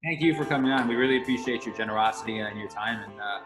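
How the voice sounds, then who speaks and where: A male podcast interviewer talks very quickly in a roomy, echoing space with faint music. He asks casual questions, tripping back over his words as he goes. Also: medium pitch, fairly bright tone.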